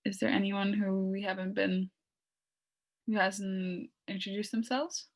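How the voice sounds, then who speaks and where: Young woman, video call moderator, medium pitch, friendly, apologetic. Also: little pitch movement, measured pace. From Norway. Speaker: discussion moderator. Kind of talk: casual video call chat.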